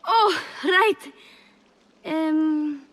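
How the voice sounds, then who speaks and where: Young palace intriguer for a game drama: high-pitched, coy, slyly theatrical, devoted to her mistress. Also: drawn-out words, a bit bright, exaggerated voice. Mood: sheepish, evasive. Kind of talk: video game cutscene acting.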